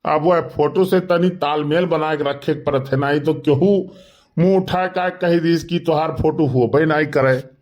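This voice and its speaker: A man's voice: a little high, measured pace, darkish tone, highs cut off, close to an everyday voice. A man voicing a boastful, argumentative village neighbour in a cartoon comedy, protesting in an Awadhi accent. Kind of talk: animated comedy dialogue.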